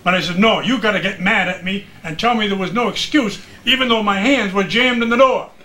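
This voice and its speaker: High, bright-voiced older man spinning yarns. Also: brisk. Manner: animated storyteller acting out remembered exchanges. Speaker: retired radio broadcaster recalling his career. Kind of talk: casual anecdotal reminiscing.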